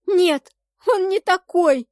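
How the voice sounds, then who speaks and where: Distraught teenage-to-young-adult fantasy heroine played by a female narrator, raised pitch, passionate pleading, dry sound. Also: slow pace, dry recording. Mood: desperate denial. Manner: emotional, pleading, outbursts. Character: distraught, passionate young woman, nearly eighteen, in love. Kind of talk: dramatic fantasy audiobook acting.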